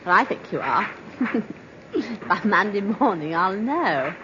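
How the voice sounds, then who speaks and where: Confident coquette, arch coaxing tone, hugely animated pitch, 1950s radio drama, hissy old recording. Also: female voice, slow, lo-fi sound. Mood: playful.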